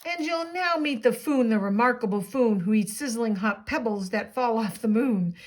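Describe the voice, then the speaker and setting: A woman reading a storybook as an eager, boastful young boy playing circus showman, proclaiming excitedly in rhyme. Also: fairly high pitch, moderate pace, lightly acted. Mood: showy, proud.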